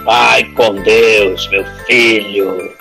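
An actor playing a menacing, foul-mouthed crime boss in an audio drama, commanding and threatening. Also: male voice, lowish voice, fairly even intonation, creaky, music in the background, full character voice. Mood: cold menace. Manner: commanding, threatening, drawn-out emphasis.

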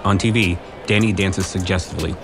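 A man's voice: low voice, steady tone, fairly quick, soft background music. A man reading scripted narration for a romantic comedy audio drama.

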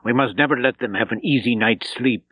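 A man's voice: medium pitch, a little fast, slightly rough, no room sound, voice pushed up, lightly acted. A man voicing an urgent, earnest, weary eighteen-year-old resistance fighter in an audiobook. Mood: defiant. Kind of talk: audiobook character dialogue. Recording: dull, slightly lo-fi tape recording.

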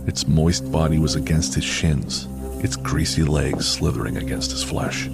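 A man narrating a horror audio drama in a measured, literary storytelling manner. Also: rumbling low, creaky. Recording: loud music under the voice. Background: music.

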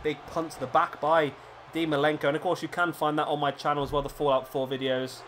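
A man commentating a wrestling video game play-by-play, a little hoarse, chatty between moves and excited on the pins. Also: on the high side, quick pace, background noise. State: slightly hoarse, losing his voice.

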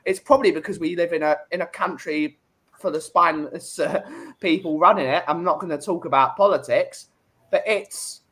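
A man's voice: on the high side, moderate pace, fairly bright tone. A man, hosting a casual chat show over a video call. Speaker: host. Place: home room with brick wall.

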